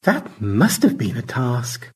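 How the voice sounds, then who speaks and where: Audio story role, male reader: considerate lady computer avatar, gentle and courteous, with a breathy, husky tone. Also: mid-range voice, wildly swooping pitch, slow, exaggerated voice. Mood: gentle, polite. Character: Margaret, gentle female on-screen computer avatar.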